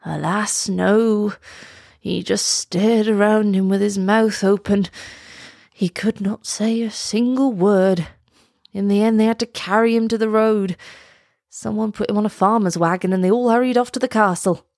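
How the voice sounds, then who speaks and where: A woman voicing a kindly, gossipy old villager man with a shaky, drawn-out delivery in a children's storybook. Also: medium pitch, steady tone, vocal fry, darkish tone, no echo, studio quality. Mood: grave.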